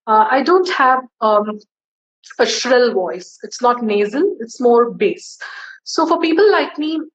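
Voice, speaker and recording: A woman's voice: expressive, slow, slightly rough, poor audio. A woman singer giving casual tips in an interview, chatty and friendly, with many fillers. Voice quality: low, bass-leaning voice for a woman.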